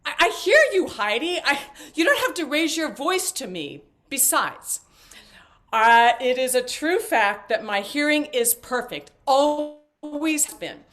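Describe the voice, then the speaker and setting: A woman's voice: high-pitched, lively intonation, slow pace, fairly bright tone, heavily acted. An actress playing a talkative, flighty, intrusive older mother in a stage play, chirpy and theatrical as she rambles. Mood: playful, needling.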